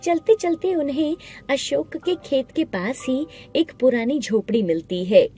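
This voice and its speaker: A woman narrating a scripted animated story, with music playing behind her voice. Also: sits high, unhurried. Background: background music.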